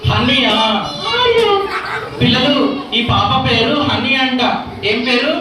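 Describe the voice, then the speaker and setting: A woman's voice: fairly high pitch, takes it slowly. Woman coaxing children in an echoey hall. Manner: coaxing, questioning children playfully. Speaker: vacation bible school leader. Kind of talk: lively children's show hosting. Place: large church hall. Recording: distant echoey hall with crowd noise. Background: noisy children.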